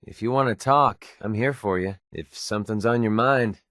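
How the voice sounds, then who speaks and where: A man's voice: on the low side, moderate pace, a bit bright, no echo, clean studio sound, lightly acted. A man playing a sardonic but loyal young mercenary companion in a video game, with a casual, wry delivery. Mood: friendly.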